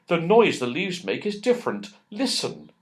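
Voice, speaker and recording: A man voicing an excitable young girl full of wonder in a children's storybook. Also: on the high side, moderate pace, pitched above the performer's own voice. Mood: hushed wonder.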